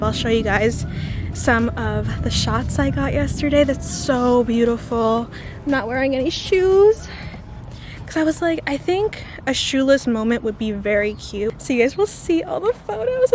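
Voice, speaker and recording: A woman's voice: on the high side, background noise. A young woman in her twenties chatting to camera in a casual vlog, congested, chatty and giggly. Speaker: vlogger.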